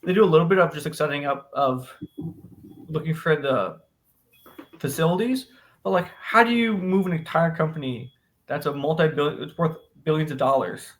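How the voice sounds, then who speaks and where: A man's voice: fairly high pitch, animated, moderate pace. A man co-hosting a podcast, casually discussing a book in an opinionated way and posing questions to debate.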